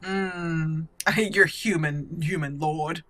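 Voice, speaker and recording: A woman's voice: deep voice, steady tone, drawn-out words, fairly bright tone. A woman giving casual commentary on her game roleplay, hesitant and thinking aloud as she goes. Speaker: gamer narrating a roleplay playthrough.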